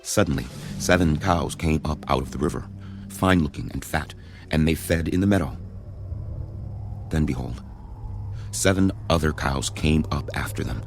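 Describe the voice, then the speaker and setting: A low, weighty voice for an Egyptian king, stately and declamatory. It is audio Bible theater, with clear music underneath. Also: moderate pace, pauses often. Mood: troubled, grave. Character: commanding Pharaoh of ancient Egypt, regal male ruler. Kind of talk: dramatized audio Bible acting.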